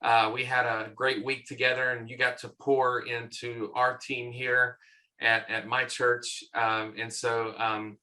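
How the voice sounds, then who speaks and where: A man's voice: mid-range voice, flat delivery, unhurried, bright, forceful tone, rough recording. A middle-aged man with a Southern US accent, a church consultant talking as a podcast guest in a friendly, rambling way. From American South. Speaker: church consultant and conference leader.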